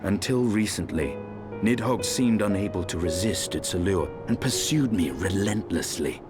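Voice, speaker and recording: Fantasy game knight: low-voiced, grave, world-weary, formal, music underneath. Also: male voice, animated, normal speed. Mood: grave, resolute. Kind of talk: video game voice acting.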